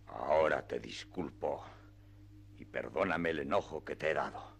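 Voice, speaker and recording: A man acting a very deep, gravelly old knight. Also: moderate pace, slight background noise. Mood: solemn. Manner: grandiloquent, solemn archaic speech. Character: proud, quick-tempered elderly knight-errant, lofty master. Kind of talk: radio drama acting. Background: background music.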